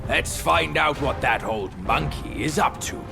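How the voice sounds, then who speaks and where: Gravelly male guide, slow and knowing, music clear behind; game cutscene. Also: medium pitch, expressive. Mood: teasing. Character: gravelly male guide outfitting a young warrior.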